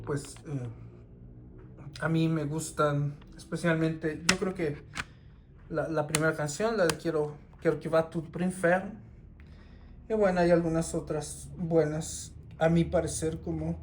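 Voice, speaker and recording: A man, talking casually and unhurriedly through his CD collection, with many pauses and restarts. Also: on the high side, a little mellow, slight background noise. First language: Spanish. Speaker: record collector.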